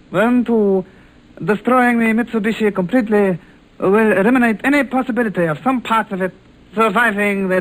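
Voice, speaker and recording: High-pitched menacing saboteur, played by a man. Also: close to an everyday voice. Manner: stiff, formal, over-precise diction. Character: menacing Japanese saboteur commander, male, coldly polite and gloating. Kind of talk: 1940s radio serial acting. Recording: noisy old radio drama recording.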